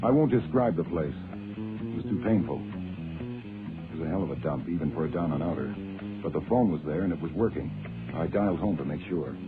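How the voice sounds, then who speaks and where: A man's voice: low voice, moderate pace, long pauses, over music, lightly acted. A man playing a brash, wisecracking detective's assistant in a radio drama, with a sardonic, slangy, streetwise manner. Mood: wry. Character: brash, wisecracking male detective's legman and narrator. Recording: muffled, noisy phone-like old radio sound.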